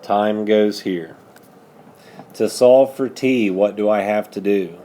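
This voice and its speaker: A man's voice: lowish voice, takes it slowly, mellow. A man working methodically through a math lesson as a teacher, patiently prompting students with questions. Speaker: high school algebra teacher.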